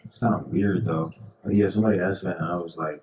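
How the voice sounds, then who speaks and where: Laid back young dude teasing his cohost, real low voice, faint hiss behind. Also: fairly even intonation, quick pace, echoey room, distant mic. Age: young adult. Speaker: podcast co-host. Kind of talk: casual chat.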